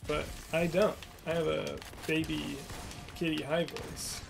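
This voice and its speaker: A man's voice: fairly high pitch, flat delivery, unhurried, creaky, over music. A young man hosting a livestream, chatting in a rambling, self-deprecating way while playing a game. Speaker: livestream host and voice actor. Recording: noisy livestream audio.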